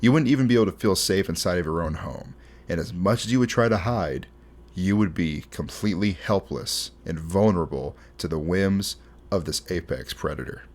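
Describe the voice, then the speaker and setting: A man's voice: low voice, animated, moderate pace, a little mellow. A young man in his twenties hosting a casual, conversational video essay, talking informally through scripted commentary. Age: 20s. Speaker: host.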